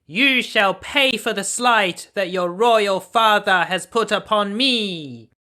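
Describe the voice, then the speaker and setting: A man voicing a furious, vengeful wicked fairy from a storybook, loud and menacing. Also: high-pitched, voice pushed up, heavily acted. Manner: loud, drawn-out menacing delivery.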